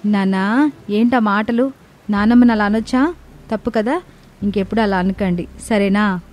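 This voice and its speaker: A woman's voice: medium pitch, moderate pace, darkish tone, soft background music, close to an everyday voice. A woman voicing a caring but strict young mother in a dramatized family story. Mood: soothing, firm.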